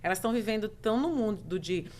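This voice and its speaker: A middle-aged woman with a Brazilian Portuguese accent, speaking at an ordinary mid pitch. She tells her own story in a casual podcast chat, expressive and emphatic, stringing points together in rhythmic lists. A faint room ambience sits under the voice. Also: fast, slight background noise. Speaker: podcast guest, mentor.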